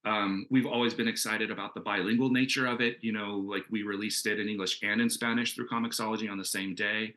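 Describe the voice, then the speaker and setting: A man with a fairly low but clearly bright, forward voice talks through an answer as a creator on a panel. He is earnest and casual, wandering through his thoughts and circling back to them. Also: steady tone, fast talker, hardly any pauses. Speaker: comic book creator on a Q&A panel.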